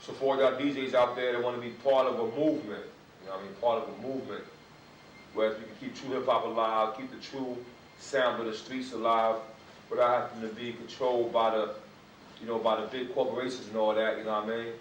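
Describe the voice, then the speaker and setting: A man giving a laid-back hip hop promo shout-out in street slang. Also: mid-range voice, level tone, brisk, highs cut off. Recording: roomy, far from the mic, faint hiss.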